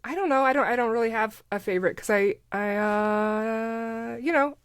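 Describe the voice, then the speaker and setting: A woman's voice: fairly high pitch, moderate pace, no room sound. A middle-aged woman, a podcast guest, talking in casual interview chat with an informal, self-deprecating manner. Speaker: podcast guest, voice actor and ad producer.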